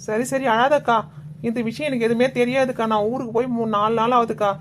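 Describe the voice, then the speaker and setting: A woman plays a gentle, puzzled young village woman in a cartoon. She speaks in a slightly high voice, asks questions and soothes her neighbour, with a faint hiss of background noise. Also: fairly even intonation, quick pace, natural voice. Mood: soothing. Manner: soothing, questioning, humming film songs. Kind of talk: Tamil cartoon voice acting.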